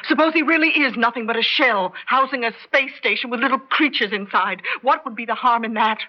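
A woman's voice: a little high, brisk, lightly acted. A woman playing worried wife Martha. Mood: pleading. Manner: soothing yet firm, pleading. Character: worried middle-aged wife Martha, firm and patient. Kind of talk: 1970s radio drama acting. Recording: dull lo-fi old radio recording.

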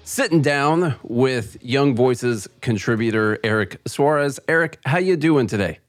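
A man's voice: medium pitch, animated, unhurried, faint music behind. A man hosting a podcast and conducting a conversational interview. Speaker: podcast host.

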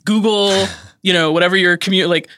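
A woman's voice: fairly low pitch, fairly even intonation, a little fast, fairly bright tone, a little hiss or hum. A woman from Idaho, a podcast guest, speaking in an impassioned, emphatic way and sounding tired and on edge. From Idaho, USA. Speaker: guest commentator. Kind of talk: podcast interview.

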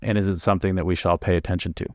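A man's voice: low voice, little pitch movement, rapid-fire, dry recording, clean studio sound. A man hosting a podcast, asking direct, friendly interview questions. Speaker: podcast host. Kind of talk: interview questions and scripted outro.

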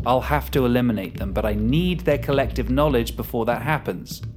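Male actor as controlling leader, mid-pitched, menacing and sarcastic, for fiction podcast. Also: brisk, natural voice. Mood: impatient, commanding. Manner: commanding, sarcastic. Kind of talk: audio drama acting.

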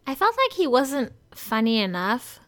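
A woman's voice: on the high side, very animated, measured pace, a bit bright. A woman co-hosting a podcast, giving emphatic, opinionated takes in casual film review chat. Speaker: podcast co-host.

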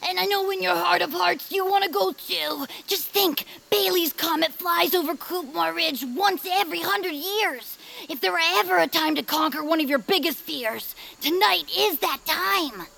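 A woman acting an eager, loyal, adventurous young friend with a gravelly voice and earnest, folksy, old-fashioned slang in an audio drama. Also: very high voice, normal speed, few pauses, bright, forceful tone, full character voice. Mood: excited. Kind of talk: audio fiction acting in a quaint old-radio manner.

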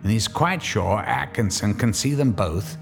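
A man narrating a radio drama in a measured, wry storytelling manner. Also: on the low side, animated, gravelly, music in the background.

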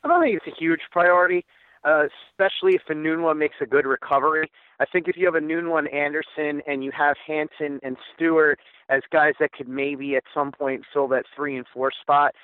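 A man with an American accent discussing sports as a podcast co-host, weighing both sides in a measured way. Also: on the high side, dry recording. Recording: thin phone-like line, dry.

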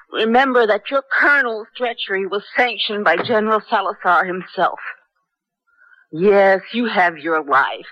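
An actress playing a bitter, defiant young American former prisoner, speaking with deliberate intensity in a 1970s radio drama. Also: female voice, medium pitch, no echo, close to an everyday voice. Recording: dull vintage radio recording.

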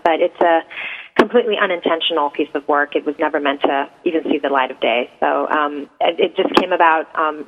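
A woman in her thirties from Iran, a native Persian speaker, answering radio interview questions hesitantly and reflectively, with many ums. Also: low voice. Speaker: guest poet. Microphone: phone. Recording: tinny phone line.

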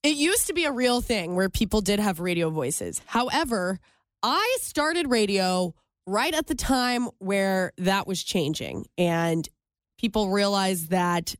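A woman's voice: mid-range voice, expressive, unhurried, dry recording. Chatty woman radio co-host, casual and self-deprecating, close dry studio mic. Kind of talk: casual podcast chat. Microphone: studio microphone.

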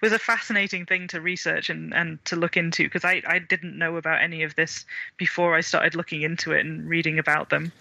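A man's voice: a little high, level tone, a little fast, gravelly, sharp tone, dry recording, dull audio. A man as a podcast guest, explaining folklore with many ums and restarts. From Wales.

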